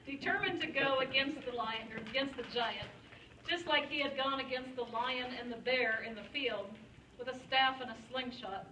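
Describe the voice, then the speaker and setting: A woman's voice: high voice, normal speed, sharp tone. A woman narrating a puppet show in a storytelling style. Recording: echoey room, distant mic, clear noise.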